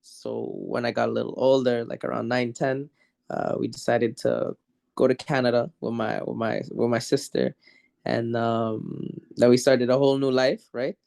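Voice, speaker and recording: A young man from Morocco, a musician guest on an interview show, talking in a relaxed, rambling way and laughing easily. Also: medium pitch, moderate pace, creaky. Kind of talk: casual interview.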